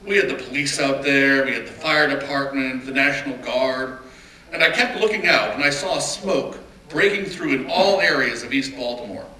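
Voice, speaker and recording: A man performing a dramatic reading as a reflective big-city mayor, telling his memories in a deliberate, emphatic, earnest way. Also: medium pitch, sharp tone, lightly acted. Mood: grave, recounting. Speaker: stage reader in a dramatic reading. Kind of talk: dramatic reading of oral history monologue. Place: live reading in a roomy indoor venue. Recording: echoey room, distant mic, background noise.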